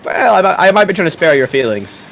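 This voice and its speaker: A man's voice: sits high, big pitch swings, fast, vocal fry, fairly bright tone, a little hiss or hum. A man co-commentating a strategy game with a friend, teasing him with dry jokes and frequent laughter. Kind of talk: casual gameplay commentary chat.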